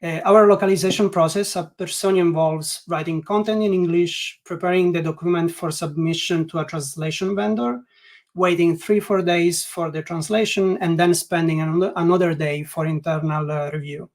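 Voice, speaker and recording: A man's voice: fairly high pitch, fairly even intonation, normal speed. A man from Italy with an Italian accent, speaking English as a second language as a guest in a webinar interview, often restarting his sentences and filling gaps with "like". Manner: hesitant, many restarts and "like" fillers. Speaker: guest learning experience designer.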